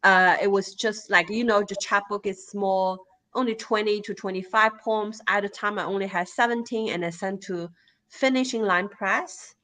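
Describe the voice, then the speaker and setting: A woman with a Chinese accent, a native Chinese speaker, answering interview questions as a guest poet in a halting way, with many fillers and restarts. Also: medium pitch, fairly even intonation, bright, forceful tone.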